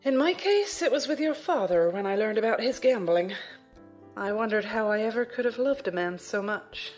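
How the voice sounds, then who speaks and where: A woman playing a middle-aged noble mother in an audio drama, speaking in a gentle, measured, reflective way. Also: fairly high pitch, animated, soft background music, close to an everyday voice. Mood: reflective, tender.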